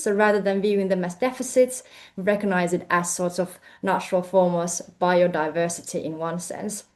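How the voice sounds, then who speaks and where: A young woman from Finland with a Finnish accent, presenting her research in a hesitant, filler-heavy way over a video call. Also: medium pitch. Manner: hesitant, many fillers and restarts. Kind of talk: presentation talk.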